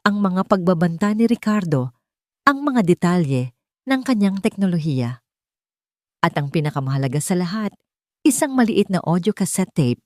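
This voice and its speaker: A woman's voice: fairly low pitch, darkish tone, dry recording, studio quality. A woman with a Filipino accent narrating a dramatic scripted audiobook story.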